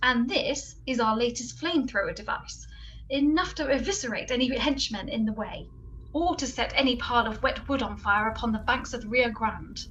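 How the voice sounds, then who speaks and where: A woman plays a loyal, bossy gadget expert in a comedy radio play. She speaks in a fairly high voice with brisk, emphatic, deadpan delivery over faint noise. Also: highs cut off, natural voice.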